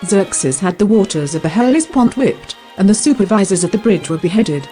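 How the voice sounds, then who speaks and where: A woman giving scripted documentary narration in a clear, explanatory way. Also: medium pitch, animated, moderate pace, warm tone, faint music behind.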